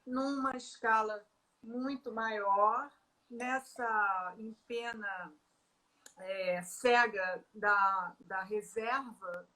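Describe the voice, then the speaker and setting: A middle-aged woman, an artist in a conversational interview, talking with many pauses, fillers and restarts. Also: mid-range voice, sharp tone, poor audio.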